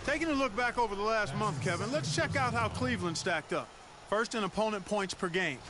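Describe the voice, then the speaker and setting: A folksy, chatty color analyst breaks down the game with easy sayings. His voice is high, and music plays behind it. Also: expressive, brisk. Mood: analyzing. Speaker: color commentator. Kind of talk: video game basketball commentary.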